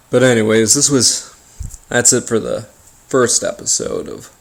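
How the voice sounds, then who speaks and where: An American man telling a first-person paranormal story in an informal, halting way, pausing between short phrases. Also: on the low side, gravelly. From Appalachian region of the United States. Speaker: host and narrator of a paranormal story series.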